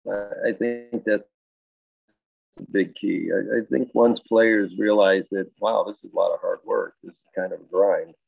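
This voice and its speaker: Low gravelly guy, coach type, rambly with lots of "you know", tinny phone sound. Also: fairly even intonation, normal speed, no echo. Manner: thoughtful, rambling with many fillers and restarts. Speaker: high school baseball coach and health teacher. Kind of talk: casual interview answers. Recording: dry, tinny phone-like line.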